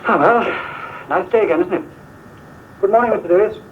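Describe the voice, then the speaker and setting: An actor playing a mild-mannered, conciliatory young village choirmaster with a Welsh accent in 1950s film dialogue. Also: male voice, high voice, brisk, lightly acted. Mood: cheerfully polite. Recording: tinny old film tape, faint hiss.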